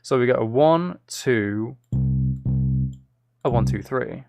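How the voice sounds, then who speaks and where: A man's voice: medium pitch, animated, takes it slowly, creaky, darkish tone, dry recording. A man chatting casually on a livestream, thinking aloud in a self-deprecating way as he makes music. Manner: self-deprecating, hums and sings out rhythms. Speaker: live streamer making music.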